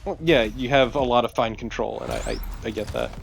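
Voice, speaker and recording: A man's voice: mid-range voice, fairly even intonation, a little fast, vocal fry, faint music behind, rough recording. A man giving casual co-commentary on a video game, with an opinionated, teasing way of talking. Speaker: let's play co-commentator.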